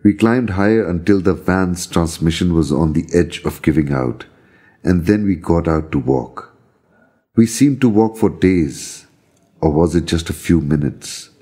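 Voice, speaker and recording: A man reading a scripted, first-person story of a visionary journey in a measured, solemn manner. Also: low voice, dark tone, husky. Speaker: storyteller reading own account.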